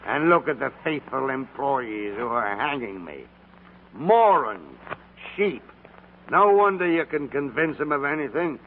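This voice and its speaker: Blustery old tycoon on tinny radio. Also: male voice, medium pitch, lively intonation, unhurried. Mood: scornful, scheming. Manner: blustery, imperious. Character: gruff, domineering, irascible elderly male department store tycoon. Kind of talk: 1940s radio comedy acting. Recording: scratchy, tinny 1940s radio broadcast.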